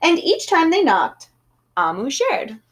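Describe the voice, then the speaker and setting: Expressive woman reading a picture book aloud. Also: sits high, takes it slowly. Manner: expressive, storytelling. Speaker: storybook reader. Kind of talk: children's read-aloud.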